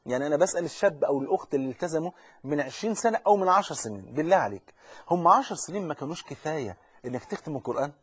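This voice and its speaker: Egyptian male preacher, fervent and urgent, very fast, husky, dry close sound, repeats himself. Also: a little high, expressive, no room sound. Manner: fervent, urgent, addresses the listener directly; frequent repetitions and restarts. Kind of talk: religious lesson.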